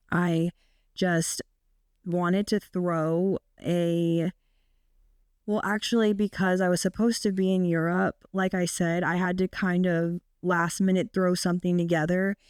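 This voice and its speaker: A woman in her twenties hosting a casual solo podcast, her naturally raspy, deep voice made hoarse by a recent illness, chatting with frequent fillers. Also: a little mellow, dry recording, clean studio sound. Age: 20s. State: sick, hoarse. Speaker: podcast host.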